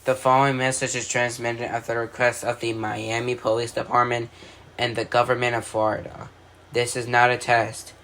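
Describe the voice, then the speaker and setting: A man's voice: mid-range voice, flat delivery, moderate pace, a bit bright. A man doing amateur scripted narration in an announcer-like way, stumbling over words at times. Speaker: video creator narrating own fictional alert scenario. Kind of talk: amateur scripted narration of mock emergency broadcasts. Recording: noticeable background noise.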